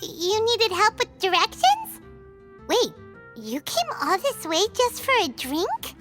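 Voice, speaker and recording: A tiny fairy sidekick chatters away in a squeaky, very high voice that leaps all over the place. She is bubbly, fussy and excitable, and talks about herself in the third person. Game music plays clearly behind her. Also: takes it slowly, full character voice. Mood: chatty. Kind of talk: game cutscene voice acting. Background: background game music.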